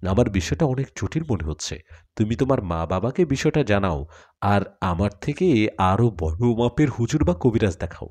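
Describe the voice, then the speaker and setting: Man playing a grave, fatherly cleric, mellow voice. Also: on the low side, very animated, moderate pace. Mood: concerned.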